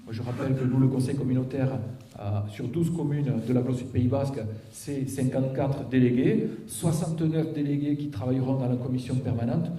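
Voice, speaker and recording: A man's voice: mid-range voice, fairly even intonation, fairly quick, warm tone. Muffled-toned man presenting in an echoey auditorium. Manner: didactic, step-by-step, with check-in tags and rhetorical questions. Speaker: local elected official presenting a plan. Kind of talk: public presentation, explanatory talk. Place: auditorium, public meeting. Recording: echoey auditorium, picked up from a distance.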